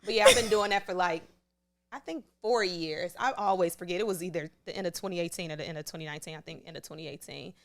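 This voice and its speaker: A young woman radio personality talks as a podcast guest. She chats in a laid-back, easy manner. Her voice sits slightly low for a woman. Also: quick pace. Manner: relaxed, laid-back, soft fillers. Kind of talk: casual chat.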